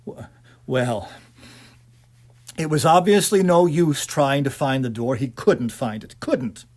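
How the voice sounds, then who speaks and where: A man's voice: mid-range voice, slow pace, a little mellow. A man narrating a suspense story in a dramatic, theatrical manner with hesitant phrasing. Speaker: audiobook narrator.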